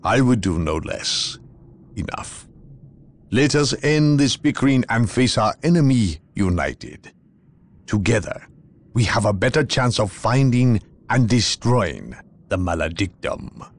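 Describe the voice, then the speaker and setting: An actor in a video game playing a grave, haughty inquisitor, speaking in a portentous, theatrical way. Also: male voice, lowish voice, sing-song, measured pace, heavily acted. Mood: urgent, resolute. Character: grave, haughty inquisitor, a zealous hunter of evil. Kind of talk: dramatic video game cutscene acting.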